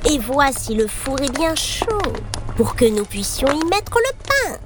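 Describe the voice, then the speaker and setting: A woman's voice: sits high, very animated, unhurried, heavy background noise. A woman voicing a cruel, cackling old witch who eats children, in a storybook reading. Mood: harsh. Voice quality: cackling, gloating.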